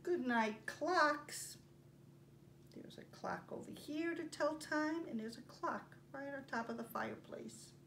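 An older woman presenting story time for young children, speaking in simple, deliberate phrases with pauses as she reads and demonstrates. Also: high voice, vocal fry, a bit bright. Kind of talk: read-aloud and craft demonstration for young children. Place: carpeted home living room. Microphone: camera a few feet away. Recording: distant mic, faint room noise.

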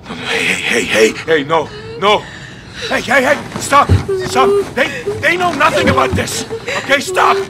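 A man's voice: very high voice, sing-song, a little slow, heavy background noise, full character voice. A man playing a captured terrorist in a video game, a vicious and defiant prisoner. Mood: panicked pleading. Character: vicious, taunting male terrorist prisoner, defiant then desperate. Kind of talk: cinematic video game acting.

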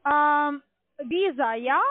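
A woman with a foreign accent in German making a halting phone sales pitch, often searching for words. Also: no room sound. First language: not German. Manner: halting, hesitant speech with frequent searching for words. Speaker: telephone sales agent. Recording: tinny narrowband phone line.

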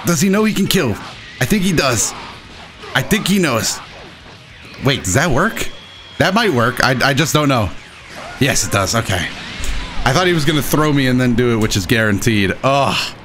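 A man giving casual, excitable commentary while he plays a video game, with playful dramatic flourishes. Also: mid-range voice, moderate pace, long pauses, faint music behind. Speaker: gaming video host and player. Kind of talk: casual live gameplay commentary.

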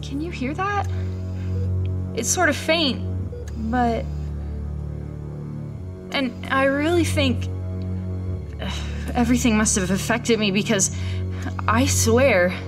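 A woman playing a frightened, traumatised captive in an audio horror drama, halting and pleading. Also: on the high side, over music. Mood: shaken recollection.

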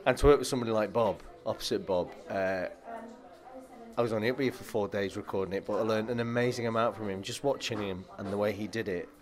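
A fairly low-pitched man gushes with enthusiasm as he answers an interviewer, laughing now and then. Behind him a crowd chatters loudly. He speaks as a stage actor sharing his experience. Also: quick pace. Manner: enthusiastic, gushing praise, laughs easily.

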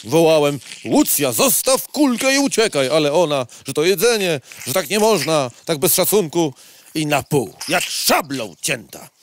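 Sentimental old beetle captain, super polite, swingy intonation, audio play, bit of noise behind. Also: male voice, fairly high pitch, sing-song, normal speed. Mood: mournful. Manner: formal, old-fashioned courtesy. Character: elderly widowed beetle, retired cavalry captain, courtly and sentimental. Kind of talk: audio comedy drama acting.

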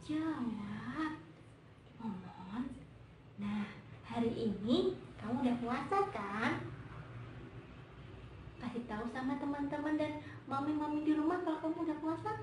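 A young woman, teaching children in an animated, teacherly storytelling style in an echoey room. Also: sits high, unhurried, long pauses. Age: young adult. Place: indoor room, plain wall, medium camera distance. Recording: echoey room, distant mic, faint noise.

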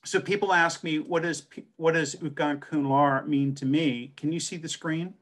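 A man's voice: fairly high pitch, steady tone, moderate pace, fairly bright tone. A middle-aged American man telling his own story as a scholar and translator, earnest and full of uh fillers and restarts, speaking over a webcam video call. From American West. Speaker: translator and scholar giving a talk. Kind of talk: personal presentation on a video call.

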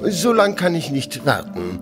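An actor playing a haughty, disgruntled English gentleman in a German audio drama, speaking stiffly and formally with hesitant fillers and a husky voice. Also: fairly high pitch, wildly swooping pitch, a little slow. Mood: curt, formal. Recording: long reverb, music underneath.

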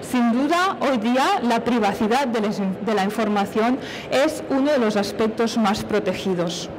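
A woman's voice: on the high side, expressive, unhurried, a little hiss or hum, low-quality recording. A middle-aged woman presenting technical material at a conference, explaining step by step and posing questions to answer. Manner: explanatory, with self-posed questions. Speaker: conference speaker from industry. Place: on stage at a conference, filmed from a distance.

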